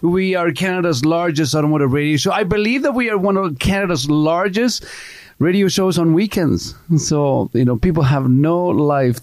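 A man's voice: fairly high pitch, darkish tone, no echo. A man hosting a weekend radio talk show, chatting casually and teasing his co-hosts in a studio. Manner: teasing, joking, rambling. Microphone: studio microphone.

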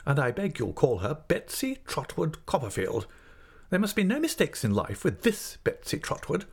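A man's voice: a little high, huge pitch swings, normal speed, creaky, slightly rough, pitched above the performer's own voice, full character voice. A man voicing a brusque, imperious older woman in an audiobook, speaking in emphatic, peremptory declarations. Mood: commanding. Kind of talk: audiobook character voice.

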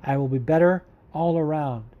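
A man hosts a casual podcast, talking loosely and bluntly with plenty of swearing and filler words. His voice sits a touch higher than most men's. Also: moderate pace, narrow, tinny audio. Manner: candid, profane, rambling with fillers. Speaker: podcast host. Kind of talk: casual MMA commentary, answering listener questions.

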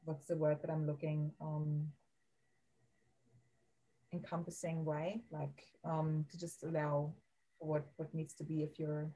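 A woman with a German accent speaks in a mellow, low voice with very little rise and fall. She talks slowly and hesitantly, often pausing to find her words as a podcast guest. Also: flat delivery, poor audio. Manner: soft, halting, searching for words. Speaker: guest, women's coach. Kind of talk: podcast interview.

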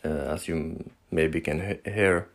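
A Norwegian-accented man with a low, deep voice talks through his first solo podcast at an unhurried pace. He speaks English as a second language and fills his pauses with frequent fillers and false starts. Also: steady tone. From Norway. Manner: hesitant, many fillers and restarts. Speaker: podcast host.

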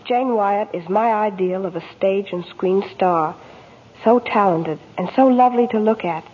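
A woman's voice: medium pitch, unhurried, husky. A young woman from New York giving a scripted, genteel 1940s radio testimonial as a guest actress. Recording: dull old radio, long hall reverb.

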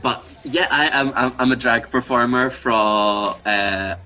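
A man's voice: mid-range voice, slow pace, pushed and bright, soft background music. A man in his twenties from Scotland, a drag performer chatting in a playful, self-mocking way and laughing often. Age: 20s. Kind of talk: casual comedic chat.

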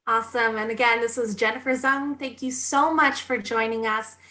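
A woman hosts an interview in a polished, upbeat way. Her voice is bright and slightly high. She speaks like a practiced presenter. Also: steady tone, normal speed. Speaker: host. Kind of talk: interview hosting.

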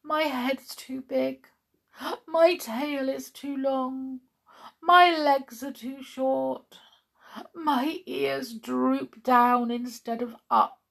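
A high, husky little storybook dog, played by a woman, forlorn and eager to be loved. The puppy pleads and laments in a plaintive, drawn-out way. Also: sing-song, darkish tone, full character voice. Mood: sad, self-pitying. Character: sad, ugly young male dog, self-pitying and eager.

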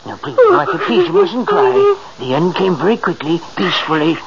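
An actor playing a devoted, fussing manservant, gentle and anxious, in 1940s radio style. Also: male voice, very high voice, huge pitch swings, moderate pace, vocal fry, a loud crowd, full character voice. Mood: alarmed, consoling. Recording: dull, noisy old radio recording.

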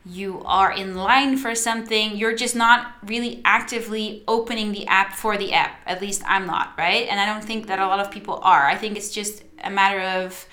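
A young woman from the Netherlands, a native Dutch speaker, hosts her solo podcast with a bright, clear tone. She chats loosely and directly to her listeners, with plenty of fillers. Her pitch sits in a middle range for a woman. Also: fairly even intonation, normal speed, few pauses. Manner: chatty, addresses listeners directly, many fillers. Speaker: podcast host and social media coach. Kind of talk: casual solo podcast monologue.

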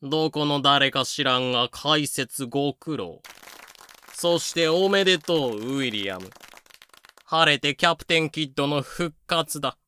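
A man's voice: on the high side, unhurried, bright, forceful tone, smooth. A man playing a smug, haughty colonial governor in a comedic voice drama, talking in a pompous, sardonic way. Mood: mocking.

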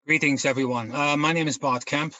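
Man with a dry, even voice. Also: a little high, brisk, no echo, muffled recording. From Netherlands. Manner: rambling, many fillers and restarts. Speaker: guest researcher. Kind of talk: casual storytelling in a podcast interview.

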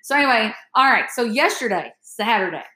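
Lady in her 30s rambling through a story on her podcast, bright voice, echoey room. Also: a little high, brisk, slight background noise. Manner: chatty, addresses listeners as y'all, animated. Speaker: podcast host. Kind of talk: casual storytelling chat.

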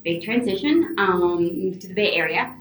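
A woman from Venezuela, a podcast guest, answers in an animated, chatty way with many fillers. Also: mid-range voice, moderate pace. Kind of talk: casual interview answers. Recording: roomy, distant remote mic.

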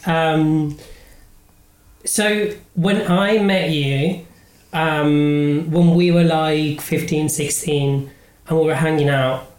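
A man with a British accent hosting a casual podcast interview, talking in a halting, self-deprecating way with many restarts. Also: a little high, fairly even intonation, reverberant, rough recording. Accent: British English. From Spain. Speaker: podcast host.

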